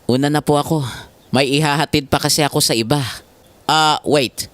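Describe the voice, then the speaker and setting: Man playing a polite young guy, higher-pitched voice. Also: fairly even intonation, moderate pace, fairly bright tone, no echo, close to an everyday voice. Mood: polite, friendly. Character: polite, helpful young man running errands for his mother. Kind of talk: audio drama acting.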